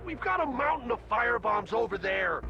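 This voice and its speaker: A man voicing a rowdy, eager, party-hungry ghoul raider in a video game, with a gravelly voice. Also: extremely high pitch, sing-song, moderate pace, lo-fi sound, heavily acted. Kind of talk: video game character acting. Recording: music behind the voice. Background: game music.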